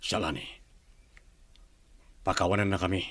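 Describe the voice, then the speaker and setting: A man with an Ilocano accent acting as a ruthless, commanding group leader in a radio drama, stern and authoritative, with a husky voice. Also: deep voice, fairly even intonation, moderate pace, fairly bright tone, no echo.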